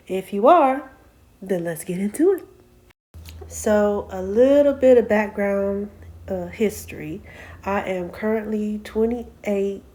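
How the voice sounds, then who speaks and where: A woman in her late twenties talking casually to her viewers in a personal vlog, soft-spoken, with frequent ums and self-corrections. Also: mid-range voice. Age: 20s. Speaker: vlog host. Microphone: camera mic, sitting and reading from notes.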